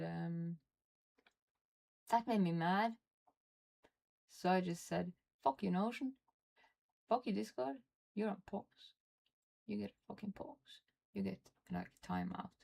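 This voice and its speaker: Slow, gravelly Norwegian woman streamer. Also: medium pitch, dark tone, low-quality recording. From Norway. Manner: frustrated, swearing, muttering under her breath. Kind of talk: casual livestream chat while fiddling with software.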